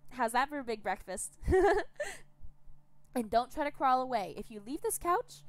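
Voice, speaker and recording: A woman's voice: fairly high pitch, expressive, normal speed, a bit bright, no room sound, pitched above the performer's own voice. A woman voicing a cheerful, cruel cannibal woman who mocks her captives in a sneering, sing-song way while streaming a video game. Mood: taunting.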